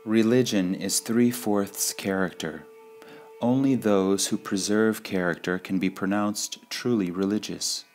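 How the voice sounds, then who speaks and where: A man reading spiritual sayings in a measured, deliberate devotional style, pausing between phrases. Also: lowish voice, a little mellow, soft background music. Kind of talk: slow devotional quote reading.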